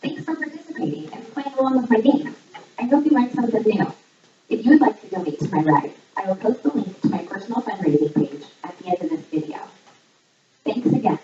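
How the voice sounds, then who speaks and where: A woman hosting a trivia game in a scripted voice-over, reading questions and answers in a measured, item-by-item way. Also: dark tone, slight background noise. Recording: echoey, distant, muffled low-fidelity playback. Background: voice audio played back in the room while filming.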